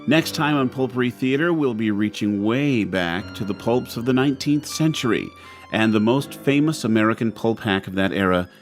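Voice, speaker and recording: A man's voice: medium pitch, lively intonation, moderate pace, soft background music. A man hosting an audio drama show, reading closing credits in a chatty, informal way. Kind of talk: podcast outro with credits, lightly scripted.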